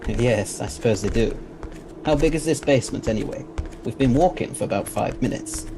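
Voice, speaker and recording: A man playing a self-assured, principled time-travelling scientist in an audio drama, speaking formally and with measured authority. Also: medium pitch, music in the background, close to an everyday voice. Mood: inquisitive.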